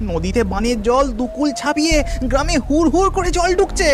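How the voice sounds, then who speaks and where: A woman's voice: sits high, big pitch swings, brisk, pitched above the performer's own voice. A woman voicing an urgent village uncle calling out a warning in a cartoon. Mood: alarmed. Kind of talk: cartoon voice acting. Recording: music behind the voice.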